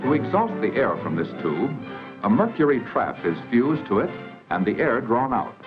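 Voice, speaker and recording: A man's voice: mid-range voice, very animated, moderate pace, over loud music. An American man delivering formal, scripted 1940s educational documentary narration on an old film soundtrack. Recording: dull, band-limited 1940s film soundtrack.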